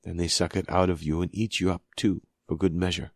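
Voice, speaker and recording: A man's voice: low-pitched, level tone, brisk, dry recording, studio quality. A man voicing a menacing woman who taunts her captive with cool, knowing advice in an audiobook story. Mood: taunting.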